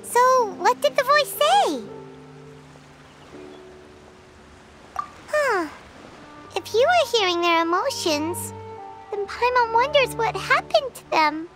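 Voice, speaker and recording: A woman voicing a tiny, excitable female fairy companion in a video game, with a squeaky childlike voice. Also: slow, loud music behind the voice, exaggerated voice. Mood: curious. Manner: exclamatory, refers to self in third person.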